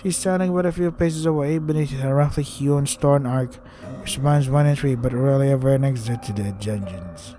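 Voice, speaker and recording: Irritated, mellow-voiced man ranting while reading. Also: mid-range voice, moderate pace, faint music behind. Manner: crude, profane, irritated, stumbling over words. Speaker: gaming video host. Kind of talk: reading a visual novel aloud with ranting commentary.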